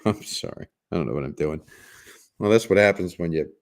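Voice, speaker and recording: A man with a raspy smoker's voice chats casually on a livestream, his tone low and gravelly with a creaky edge. He jokes with his viewers, stumbles over restarts and giggles between thoughts. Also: moderate pace. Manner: joking, giggly, rambling with many restarts. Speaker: livestream host.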